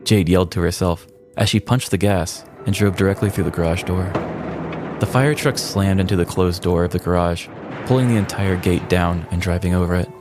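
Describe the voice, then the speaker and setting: A man's voice: low voice, fairly even intonation, fairly quick, over music. A man co-hosting a podcast, narrating a scripted comedic true-crime story. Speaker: podcast co-host.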